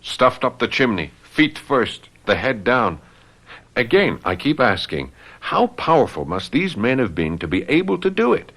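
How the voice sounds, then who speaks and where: Radio drama: baffled, jealous young man, plain-spoken, insistent, mid-range voice with lively swings. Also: mid-range voice, unhurried, heavily acted. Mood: puzzled, insistent. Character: earnest, baffled, jealous young fiancé, hot-headed. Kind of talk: radio mystery drama acting.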